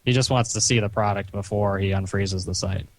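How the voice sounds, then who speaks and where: A man talking on a recorded phone call in a stern, chiding, halting way, padding his sentences with "you know". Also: on the low side, steady tone. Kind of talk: phone conversation, reproachful lecturing.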